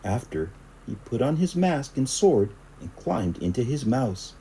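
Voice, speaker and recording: A man reading a children's story aloud in an animated, expressive style. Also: medium pitch, measured pace, a little hiss or hum, poor audio. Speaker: storybook reader.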